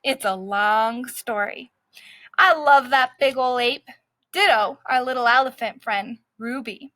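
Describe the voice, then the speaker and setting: A woman's voice: a little high, measured pace, sharp tone, no echo. A woman reading as a brash street dog. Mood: cocky. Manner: brash, cocky storytelling. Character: scrappy streetwise male dog narrator.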